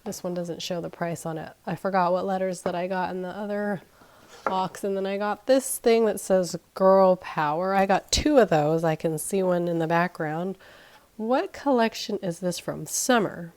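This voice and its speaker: A woman's voice: on the low side, moderate pace, a little mellow. A woman in her thirties, nasal and crackly as she recovers from being sick, chatting casually while unboxing at home. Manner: chatty, thinking aloud, self-deprecating. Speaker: unboxing vlogger. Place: indoors at home, carpeted floor. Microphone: camera pointed down at items, speaker off-screen.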